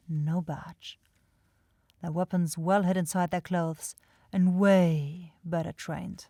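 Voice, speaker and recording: Low, teasing vampire girl, acted by a woman. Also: a little mellow. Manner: intimate, slow, coaxing, taunting. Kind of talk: ASMR roleplay acting. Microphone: close microphone, dry studio sound.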